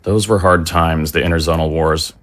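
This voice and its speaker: Man as lowish-voiced, weary middle-aged father. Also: fairly even intonation, normal speed, natural voice. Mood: reserved, reflective. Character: measured, weary father, a traveling businessman. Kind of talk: audio drama acting.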